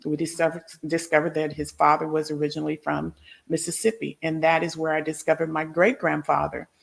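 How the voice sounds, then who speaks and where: A woman's voice: low voice, little pitch movement, fairly quick, vocal fry. A woman hosting a conversational interview, sharing personal stories and putting questions to her guest. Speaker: show host interviewer.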